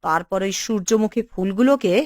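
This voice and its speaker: A woman's voice: medium pitch, fairly quick, darkish tone, no echo, studio quality, natural voice. An actress playing a bold, bossy village woman in a cartoon, assertive and scolding. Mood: scheming, stern. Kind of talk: Bengali cartoon dialogue.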